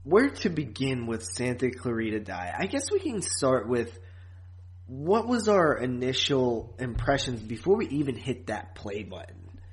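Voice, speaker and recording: A man talks as a podcast co-host in an informal review chat. His pitch sits in the middle of the male range. He keeps things loose and conversational, with a teasing, joking streak. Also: big pitch swings, measured pace.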